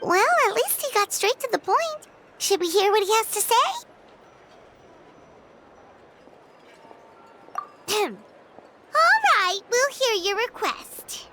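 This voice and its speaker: A woman voicing a small, excitable, frank fairy companion with a childlike, squeaky voice in a video game. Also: unhurried, very noisy, heavily acted. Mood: wary, frank.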